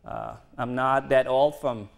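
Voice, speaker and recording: A man in his twenties from South Sudan, a native Dinka speaker, giving an earnest university talk in halting, non-native English with restarts. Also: medium pitch, steady tone. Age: 20s. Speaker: guest speaker. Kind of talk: university lecture.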